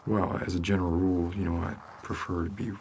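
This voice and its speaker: A man performing an ASMR roleplay as a world-weary homicide detective, rambling hesitantly in a deadpan manner. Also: deep voice, fast talker, noisy background. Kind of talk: slow ASMR roleplay monologue.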